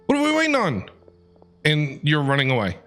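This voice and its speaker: A man's voice: fairly high pitch, huge pitch swings, a little fast, fairly bright tone. A man giving casual, reactive live commentary as a game streamer, with slangy remarks. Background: faint game music.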